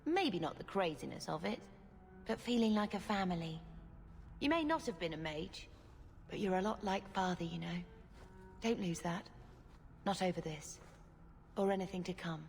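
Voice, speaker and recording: A woman playing a gentle, dutiful young mage sister in a video game, speaking earnestly and reflectively. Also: on the low side, animated, moderate pace, long pauses. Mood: wistful, caring. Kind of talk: video game character acting. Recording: reverberant hall, music behind.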